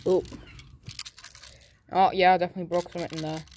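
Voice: mid-range voice, little pitch movement, quick pace, darkish tone, a little hiss or hum. A person giving casual, rambling commentary with crude, sweary, jokey muttering, recorded outdoors on a handheld phone. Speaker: amateur video maker. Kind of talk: casual rambling commentary while smashing a toy. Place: outdoors on pavement beside a brick wall. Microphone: handheld phone close to the speaker.

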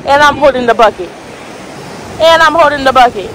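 A woman's voice: high-pitched, fairly quick, rough recording. A young American woman vlogging casually on a phone outdoors at the beach, chatting to the camera and calling out to children. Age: young adult. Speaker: family vlogger. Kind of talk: casual chat to camera and family. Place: outdoors on a busy beach by the surf. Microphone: handheld phone, at arm's length when on screen. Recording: loud surf and beach crowd noise. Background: waves, wind and crowd.

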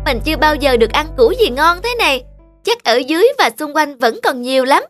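A woman voicing an earnest poor young farm boy in an animated fairy tale, plaintive and full of exclamations. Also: high voice, fairly quick. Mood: curious, delighted. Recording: music under the voice.

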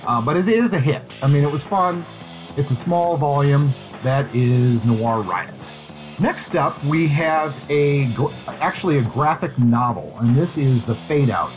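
A man with a mid-pitched voice hosts a conversational review segment on a podcast. He talks informally and with enthusiasm. Guitar music plays clearly behind him. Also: rough recording. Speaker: podcast host and book reviewer.

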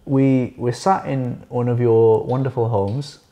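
A man's voice: medium pitch, fairly even intonation, a little slow, mellow, faint music behind. A man in his thirties hosting an interview, asking questions in an easygoing, reactive way from a sofa in a living room. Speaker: interview host. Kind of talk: casual interview chat. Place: living room of a furnished house, seated on a sofa.

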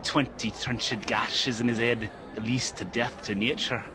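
A man playing a hired murderer in a radio drama, speaking in a hushed, grim way. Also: medium pitch, steady tone, unhurried, over music, natural voice. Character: hired murderer, gruff and low.

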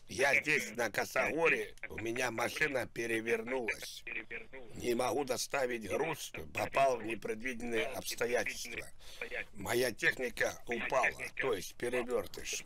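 A man's voice: medium pitch, bright, forceful tone, lightly acted. A man voicing a stubborn, rambling old truck driver in a sweary, pleading prank phone call. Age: older. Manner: rambling, sweary, muddled complaining. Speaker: prank caller.

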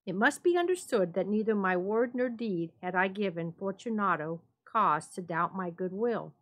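A woman narrator gives a dramatic reading of a story. Her voice sits at a medium pitch, and she delivers the prose in a measured, deliberate way. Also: animated, a bit bright.

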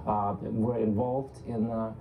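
A man's voice: lowish voice, little pitch movement, slow, narrow, tinny audio, a little hiss or hum. A man in his thirties from Ukraine, interviewed outdoors at night and talking hesitantly with many ums and uhs. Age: 30s. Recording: TV audio recorded off a speaker.

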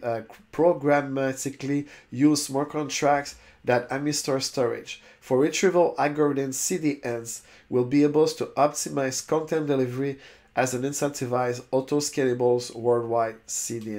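A man's voice: mid-range voice, steady tone, normal speed, darkish tone. French-native man reading web pages aloud. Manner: stumbles and restarts on long words. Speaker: crypto YouTuber. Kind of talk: reading a website aloud with casual asides. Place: indoors, webcam overlay on screen share.

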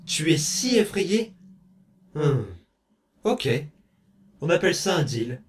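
A man playing a menacing, power-hungry undead boy in an amateur comic dub, deliberate and condescending. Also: fairly high pitch, sing-song, a little hiss or hum. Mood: taunting, threatening. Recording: music behind.

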